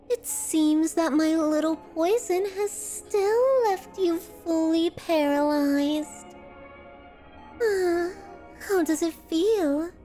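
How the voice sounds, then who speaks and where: Slow, very high-voiced obsessive kidnapper. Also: female voice, warm tone, exaggerated voice. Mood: gloating, curious. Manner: florid, theatrical, formal diction, lingering over words. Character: obsessive yandere woman, genteel kidnapper artist, menacingly adoring. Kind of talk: scripted ASMR roleplay monologue.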